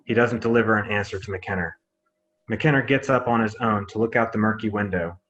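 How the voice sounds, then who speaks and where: Lower-pitched man narrating a script. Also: a little fast, a bit bright, dull audio. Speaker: narrator of a screenplay table read. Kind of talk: scripted narration of stage directions.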